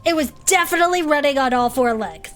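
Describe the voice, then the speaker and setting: An excitable female adventurer in an audio drama. Her voice sits high, and she speaks with earnest, dramatic emphasis as a monster-show co-host. Light music plays underneath. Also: fast. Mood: spooked, emphatic. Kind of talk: audio drama acting as a chatty fantasy podcast co-host.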